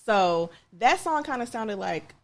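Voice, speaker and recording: A woman's voice: on the high side, animated, unhurried. A woman hosting a casual interview, upbeat and encouraging, with frequent affirming backchannels. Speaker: host. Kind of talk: casual interview, quiz game.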